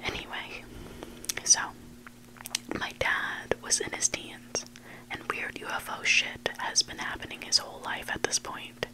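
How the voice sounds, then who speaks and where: A person reading stories for ASMR in a breathy, unvoiced whisper, hushed and deliberate, in short phrases. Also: poor audio. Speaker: ASMR reader of online stories.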